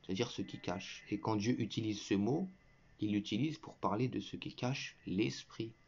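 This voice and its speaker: A man with a fairly low voice delivers a heated religious rant straight at an opponent, scolding and mocking by turns. His tone is lecturing and scornful, with bursts of derisive laughter. The recording sounds dull and lo-fi. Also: normal speed, darkish tone. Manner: scornful, lecturing, addresses listener directly as "tu". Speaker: online religious commentator. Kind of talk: combative reaction monologue to viewers. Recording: muffled lo-fi recording.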